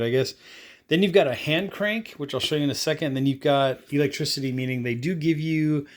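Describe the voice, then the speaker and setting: Guy rambling thru a product review, laid-back, lots of "kinda get the idea". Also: medium pitch. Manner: rambling, filler-heavy, offhand. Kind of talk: casual unboxing review.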